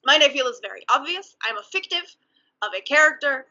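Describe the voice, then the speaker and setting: A woman speaking English with a Mexican accent in a deliberate, earnest way, often laughing softly between thoughts, in a casual conversation over a video call. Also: sits high, animated. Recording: muffled video call audio.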